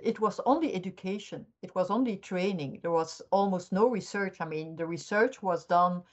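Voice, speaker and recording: Older Belgian-raised woman academic, lower pitch, measured explainer, muffled lo-fi recording. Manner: measured, explanatory, frequent restarts. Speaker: criminology professor, guest. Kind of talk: academic interview answers. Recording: dull lo-fi audio.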